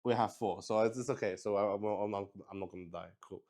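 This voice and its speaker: A man's voice: fairly low pitch, steady tone, fast, a little mellow. A man hosting a game in casual improvised chat, thinking aloud and swearing with exclamations. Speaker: solo roleplay player and host.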